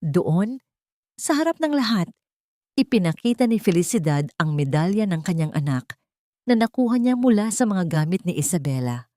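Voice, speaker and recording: A woman reading a scripted dramatic story as an audiobook narrator, in a measured, solemn storytelling manner. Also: lowish voice, very animated, warm tone, no echo, clean studio sound.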